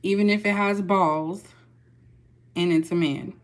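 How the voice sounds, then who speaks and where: A woman's voice: mid-range voice, steady tone, a little slow. A young woman with a Texas accent giving a casual, chatty tarot reading and talking loosely to her viewers. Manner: chatty, loose, folksy with y'all. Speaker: tarot reader.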